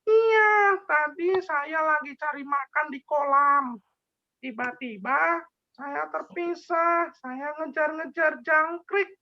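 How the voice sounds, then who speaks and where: A man voicing a small, timid lost yellow duckling in a children's story, speaking in pleading, childlike phrases. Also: very high voice, takes it slowly, highs cut off, voice pushed up, heavily acted. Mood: innocent.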